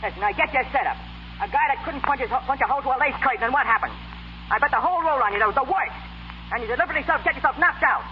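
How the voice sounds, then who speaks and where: An actor playing an exasperated, streetwise Brooklyn boxing manager with a slangy, badgering New York delivery in a 1930s radio comedy. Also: male voice, extremely high pitch, rapid-fire. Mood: bitter, scornful. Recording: muffled, noisy 1930s radio recording.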